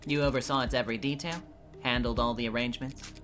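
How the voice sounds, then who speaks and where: A man voicing a sharp, sardonic defense counselor in a mystery game, cross-examining in a pointed, accusatory way. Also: medium pitch, steady tone, quick pace, natural voice. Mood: probing. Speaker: streamer voice acting a game playthrough. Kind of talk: dramatic live character reading of game dialogue. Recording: music behind.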